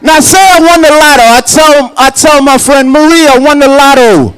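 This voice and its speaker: A man's voice: very high voice, moderate pace, a bit bright, poor audio. A man performing a stand-up comedy set at a gala, playful and slipping in Haitian Creole phrases. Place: hotel banquet hall at a gala.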